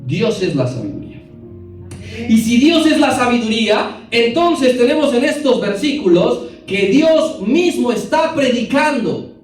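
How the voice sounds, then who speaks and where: A man's voice: extremely high pitch, big pitch swings, measured pace, soft background music. A man giving a Bible class in a church hall, explaining verses step by step and putting questions to his listeners. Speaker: bible teacher. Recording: very echoey hall, voice from a distance.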